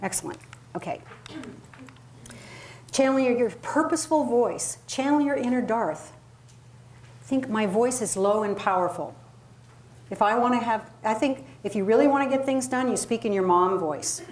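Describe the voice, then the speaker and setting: A woman's voice: on the high side, normal speed, long pauses, darkish tone. A woman leading an interactive workshop as a public speaking coach, talking emphatically and conversationally and putting questions to her audience. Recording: live room recording with clear background noise.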